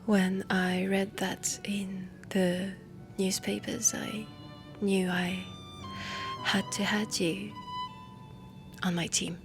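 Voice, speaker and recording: A woman playing a refined, ambitious heiress inventor in a steampunk audio roleplay, speaking softly and deliberately with a French accent, often close to a whisper. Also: mid-range voice, level tone. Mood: admiring. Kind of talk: soft intimate audio roleplay. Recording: music under the voice. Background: background music.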